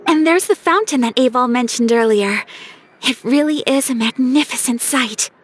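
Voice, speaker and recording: High, gentle young noblewoman. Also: normal speed. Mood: warm, admiring. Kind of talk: video game voice acting. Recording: music behind the dialogue.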